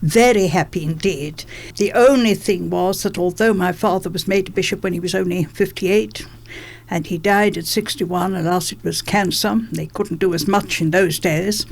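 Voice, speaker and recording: A woman's voice: fairly low pitch, moderate pace, creaky. An elderly woman reminisces in an interview, stressing her points by repeating phrases. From England, born in London. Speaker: interviewee, former politician.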